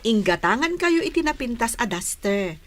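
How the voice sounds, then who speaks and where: A woman with an Ilocano accent acting a patient, forgiving young wife and mother in a radio drama, gentle and measured. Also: on the high side, sing-song, soft background music. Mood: tender, dutiful.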